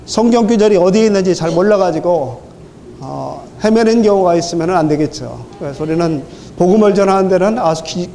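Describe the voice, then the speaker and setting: A hoarse man preaching a sermon in church, hesitating often with drawn-out fillers. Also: high voice, mellow, big-room sound, a little hiss or hum. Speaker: church speaker.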